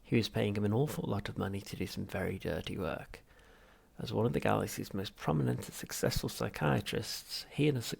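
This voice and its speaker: A man's voice: on the low side, gravelly, husky. A man reading comic science fiction aloud as scripted audiobook narration. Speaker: audiobook reader.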